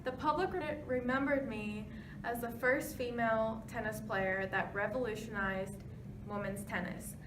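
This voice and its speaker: Casting: woman acting as 1920s women's tennis star, highish voice, scripted interview answers, noisy distant recording. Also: steady tone, a little slow, lightly acted. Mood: matter-of-fact. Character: pioneering 1920s female tennis champion being interviewed. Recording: noisy, echoey room, distant mic.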